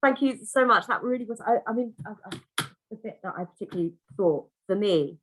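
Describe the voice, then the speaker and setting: A woman's voice: mid-range voice, brisk, slight background noise, rough recording. A woman hosting an online conference over a video call, chatty and effusive, full of restarts and fillers. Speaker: conference host.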